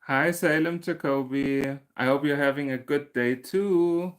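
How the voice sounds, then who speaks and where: A man's voice: fairly high pitch, measured pace. A man, hosting a casual livestream and chatting through viewer comments, laughing often and restarting his sentences. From Germany. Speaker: crochet channel host.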